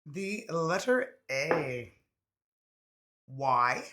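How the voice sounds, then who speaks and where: A man gives a relaxed, chatty tarot reading and laughs easily as he goes. His voice sits a little high and sounds bright and forward. A cold has left it slightly raspy. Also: extremely slow. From Canada. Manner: laid-back, chatty, laughs often. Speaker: tarot reader.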